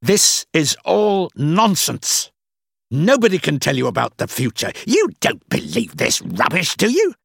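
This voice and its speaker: Man acting blustering, arrogant businessman, pitched high. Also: very animated, drawn-out words, gravelly, fairly bright tone, breathy edge, no room sound, clean studio sound, full character voice. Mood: scornful, gloating. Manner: blustering, impatient, scornful. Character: angry, arrogant, dismissive businessman fortune teller. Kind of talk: audiobook character acting.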